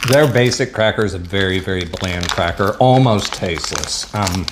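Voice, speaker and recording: A man's voice: low voice, lively intonation, moderate pace, background noise. A man with a Southern US accent, chatting casually and rambling with plenty of ums while reviewing food to camera. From Southern United States. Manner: rambling, chatty, self-deprecating, frequent um and uh. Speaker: MRE taste-test vlogger. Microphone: mic set up at a table.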